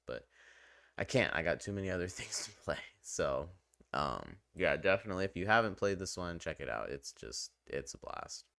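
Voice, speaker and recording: A man's voice: a bass voice, gravelly, pushed and bright, no room sound. A man giving casual, rambling game review commentary in a conversational, enthusiastic way. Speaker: gaming video host and reviewer.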